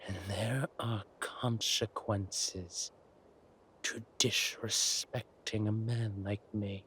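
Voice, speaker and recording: An actor playing a smug, menacing villain king in an intimate audio roleplay, speaking deliberately and theatrically to a beloved consort. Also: fairly low pitch, steady tone, sharp tone, slight background noise, full character voice. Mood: cold menace. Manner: slow, deliberate, theatrical, breathy asides.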